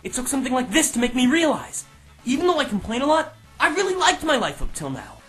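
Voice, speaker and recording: A woman's voice: high voice, very animated, quick pace, a bit bright, faint music behind. A woman voicing an earnest, complaining teenage schoolboy in comic anime fan dub outtakes.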